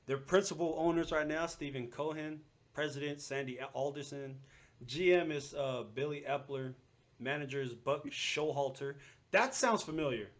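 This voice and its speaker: A man in his thirties with a somewhat higher-than-average voice hosts a casual sports talk. His delivery is informal and slangy, with an easy bantering style. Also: normal speed. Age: 30s. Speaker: sports podcast host. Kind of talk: casual sports podcast chat.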